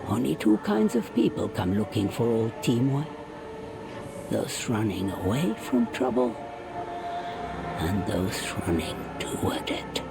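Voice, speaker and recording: An actor voicing a wary, sardonic old swamp hermit in a video game, with a creaky voice and sly, cutting manner. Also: mid-range voice, big pitch swings, a little slow, over loud music. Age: older. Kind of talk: dramatic video game acting.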